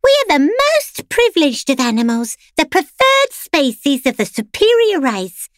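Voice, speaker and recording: A woman doing a pampered lady pet with a very high, lilting voice that swoops up and down. She talks down to everyone in a smug, condescending way, in a dry recording. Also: wildly swooping pitch, normal speed, dry recording. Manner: condescending, sing-song. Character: pampered prim female pet, smug and superior.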